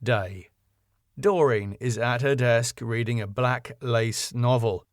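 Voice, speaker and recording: A man's voice: mid-range voice, slow pace, no echo, studio quality. A man reading his own sitcom script as narrator, with scene headings and stage directions.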